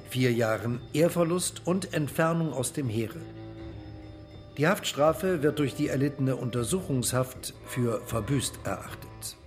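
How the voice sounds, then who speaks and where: A man narrating a radio drama in a documentary style, reading formally, factually and precisely. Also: mid-range voice.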